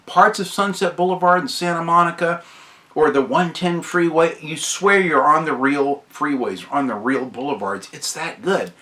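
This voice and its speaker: Male game reviewer, bright forceful voice gone hoarse, unscripted, rambling and keen. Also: a little high, normal speed. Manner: enthusiastic, rambling, repeats praise. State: hoarse from recent sickness, often clearing throat.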